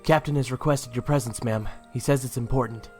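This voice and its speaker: A dutiful male soldier acted by a man speaks formally in a moderately low voice. He sounds like a messenger in a fantasy audio play, with music underneath. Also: fairly even intonation, brisk, a little mellow, close to an everyday voice.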